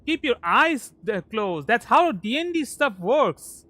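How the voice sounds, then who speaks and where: A woman livestreaming a game, chatting casually in a rambling, expressive, jokey way. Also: fairly high pitch, slow pace, no echo. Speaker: virtual streamer. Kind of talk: casual livestream gameplay chat.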